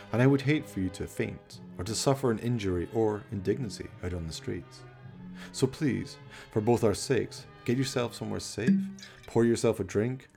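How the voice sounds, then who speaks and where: A man narrating a scripted horror radio play in a theatrical, ominous, deliberate manner. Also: lowish voice, gravelly, dark tone.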